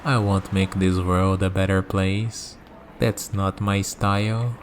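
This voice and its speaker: A man acting as a cynical male villain who holds godlike power, in an ASMR roleplay. He speaks very slowly in a low voice, measured and calm, leaving dramatic pauses. Noticeable urban background noise sits behind him. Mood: cold, contemptuous.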